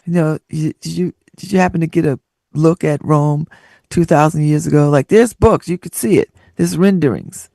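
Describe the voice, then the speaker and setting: A woman's voice: low voice, moderate pace, gravelly, warm tone, no echo, clean studio sound. A woman hosting a radio talk show, reading out listener stories and riffing on them with frequent laughter and sassy asides.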